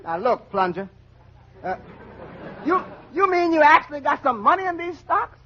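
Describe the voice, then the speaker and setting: An actor playing a needling, wisecracking tavern employee with a raspy voice in a 1940s radio comedy. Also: male voice, extremely high pitch, sing-song, moderate pace, a faint crowd. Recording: dull vintage radio recording.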